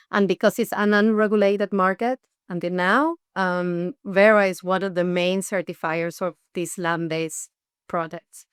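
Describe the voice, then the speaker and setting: A woman from Peru, an NGO research head, explaining things in an interview with measured, rhetorical questions. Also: fairly low pitch, clear, resonant tone, dry recording, studio quality.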